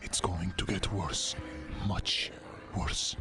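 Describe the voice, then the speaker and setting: A low, slow, fearful senator. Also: male voice, steady tone. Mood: urgent, hushed. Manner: grave, halting, desperate. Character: fearful, embattled senator sending a secret warning. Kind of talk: audio drama acting. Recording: loud music under the voice.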